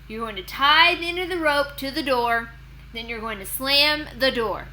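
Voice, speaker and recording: A woman voicing a whiny young boy who loudly complains, in a children's storybook read-aloud. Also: high-pitched, normal speed, rough recording. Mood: knowing, protesting.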